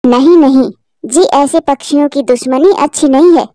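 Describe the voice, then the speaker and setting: A woman's voice: sits high, normal speed, mellow, dry recording, lightly acted. A woman doing an anxious pigeon wife. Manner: soothing, anxious. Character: worried, loyal female pigeon wife.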